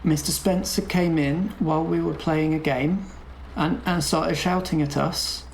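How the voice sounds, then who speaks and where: In an echoey room, a man plays a timid girl of six, pitched a little up. The child is quiet and scared and speaks in short, simple phrases, as in a tabletop roleplay drama. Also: fairly even intonation, a little slow, vocal fry, a little hiss or hum. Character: scared, quiet six-year-old schoolgirl.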